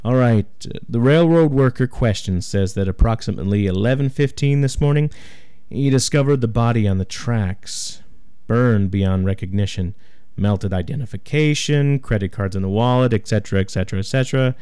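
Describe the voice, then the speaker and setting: A man playing a businesslike small-town policeman going briskly through case details. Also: mid-range voice, warm tone, clear, resonant tone, no room sound, studio quality, natural voice.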